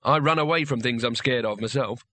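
A man's voice: mid-range voice, brisk, pushed and bright, dry recording, natural voice. A man voicing a cowardly, cynical wizard in a fantasy audiobook, with a dry, weary, sardonic manner. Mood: resigned, admiring.

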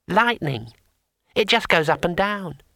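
A small, gentle imp with a high, odd, slightly unreal voice that explains things slowly and patiently, as if stating plain facts, voiced by a man reading a storybook. The sound is bright and dry, close to the mic. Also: lively intonation, vocal fry, no echo, exaggerated voice.

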